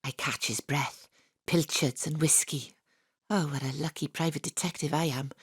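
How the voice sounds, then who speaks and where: A woman's voice: sits low, steady tone, moderate pace, slightly rough, no echo. A woman playing a wry, hard-boiled private detective, narrating her case dryly in a noir audio drama. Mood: wry, gritty. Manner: wry, dry first-person storytelling.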